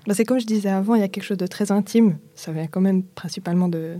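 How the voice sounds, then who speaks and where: Hesitant young woman, mellow voice. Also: mid-range voice, fast, no room sound. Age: young adult. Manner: hesitant, soft-spoken, many fillers and restarts. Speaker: singer-songwriter guest. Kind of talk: casual interview answers.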